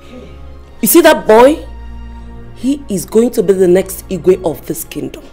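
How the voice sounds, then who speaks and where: A woman's voice: mid-range voice, big pitch swings, measured pace, mellow, husky, soft background music, heavily acted. An actress playing an imperious royal woman who commands and insists. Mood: commanding. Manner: commanding, insistent, repeating demands. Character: imperious royal woman backing the missing heir.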